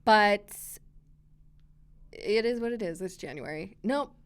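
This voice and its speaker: A chatty woman hosts a relaxed podcast conversation, her voice a little scratchy from a cold. She is bubbly, giggles easily and talks at a middling pitch. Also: takes it slowly, clean tone. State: hoarse from a cold. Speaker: podcast host. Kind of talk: casual chat. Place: library room.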